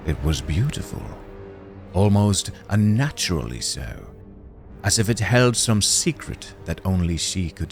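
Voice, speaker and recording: A man giving a scripted, dramatic narration of a story. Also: sits low.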